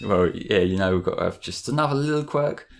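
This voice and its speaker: A man's voice: lowish voice, expressive, a little fast, vocal fry. A man doing casual, wry gaming commentary with some swearing. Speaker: let's play commentator. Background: game music.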